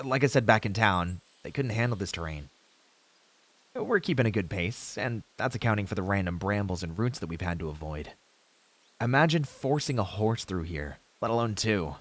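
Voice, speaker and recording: A man playing an earnest, idealistic young novice hero in a fantasy audio roleplay, speaking in formal heroic phrases with hesitant asides to a companion. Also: on the low side. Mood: reasoning, casual.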